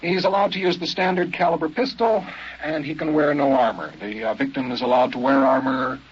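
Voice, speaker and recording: A man's voice: a little high, fairly even intonation, brisk, slightly rough. An actor playing a polite, brooding, experienced killer in an old-time radio drama, hesitant and musing as he talks. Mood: hesitant, explaining. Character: seasoned licensed killer, polite, hesitant, quietly brooding man. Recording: muffled, noisy old radio recording.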